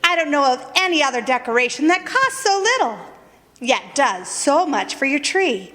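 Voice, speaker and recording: A woman's voice: high voice, big pitch swings, normal speed, sharp tone. A woman reading a scripted old-time radio commercial as its spokeswoman. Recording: echoey hall, long reverb.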